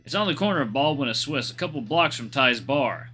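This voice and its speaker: A man voicing a guarded, gruff male acquaintance in a visual novel, speaking in a terse, evasive way. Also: mid-range voice, animated, fairly quick, bright, forceful tone, soft background music. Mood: evasive, uneasy. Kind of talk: visual novel character voice-acting.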